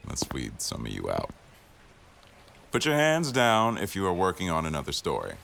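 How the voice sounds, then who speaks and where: Very low male voice, acted: demanding newsroom boss for audio roleplay, dry wit, firm authority. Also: wildly swooping pitch, normal speed, vocal fry, a bit bright, slight background noise, exaggerated voice. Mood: wry, commanding. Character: exacting, sardonic male executive news producer, the boss. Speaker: voice actor in a boyfriend audio roleplay. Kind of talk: scripted ASMR roleplay, addressing staff at a meeting.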